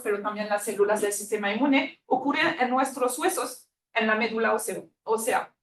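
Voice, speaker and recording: A woman from France with a French accent giving a friendly, hesitant university lecture on immunology, often pausing with fillers and correcting herself. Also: fairly high pitch. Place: lecture room. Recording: echoey lecture room, distant mic.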